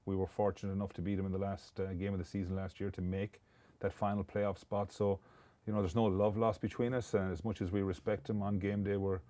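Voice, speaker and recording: A man's voice: deep voice, flat delivery, brisk, warm tone. A man hosting a casual interview podcast, talking in a chatty, rambling way with many restarts. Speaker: podcast host.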